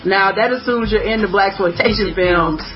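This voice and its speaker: High-voiced dude hosting a podcast, jokey and crude, kinda muffled, faint music behind. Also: male voice, moderate pace. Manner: joking, profane, easily amused. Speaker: podcast host. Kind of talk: casual chat.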